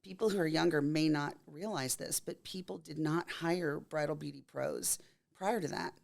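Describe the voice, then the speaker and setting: A woman in her fifties from Texas, a makeup artist telling stories in a casual interview, her voice scratchy and hoarse from a cold. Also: deep voice, moderate pace, darkish tone. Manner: chatty storyteller, laughs often.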